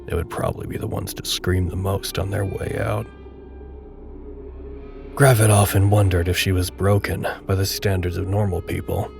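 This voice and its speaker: A man narrating a dark audio drama in a measured, literary, grave and detached manner. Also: low-pitched, steady tone, creaky, over music. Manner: measured, literary, solemn storytelling.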